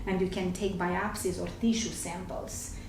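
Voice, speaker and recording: A woman's voice: lowish voice, flat delivery, normal speed, creaky, a little mellow. A middle-aged woman from Romania with a Romanian accent, a gastroenterologist guest on a talk show, explaining carefully with hesitant fillers. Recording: echoey room, distant mic, faint noise.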